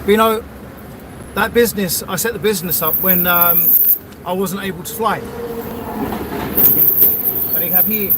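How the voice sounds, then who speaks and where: A middle-aged man is livestreaming from his car, rambling along in a chatty, sweary way with lots of ums and uhs. His voice sits a bit high for a man. Heavy road noise and traffic roar fill the background. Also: normal speed, pauses often. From London, England. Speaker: livestreaming vlogger. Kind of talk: casual live chat while driving. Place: in a vehicle on city roads near an airport. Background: loud traffic and road noise.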